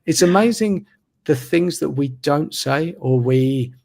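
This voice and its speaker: Gravelly, slow-spoken man. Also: a little high. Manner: slow, even, reflective delivery. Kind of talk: casual interview conversation. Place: remote video call. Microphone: video call mic.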